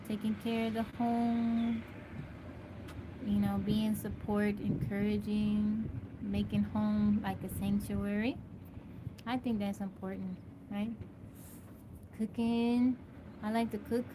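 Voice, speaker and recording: A woman from Texas hosting a casual live stream, greeting viewers by name and answering their questions. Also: medium pitch. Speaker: livestream host. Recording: noisy live-stream audio.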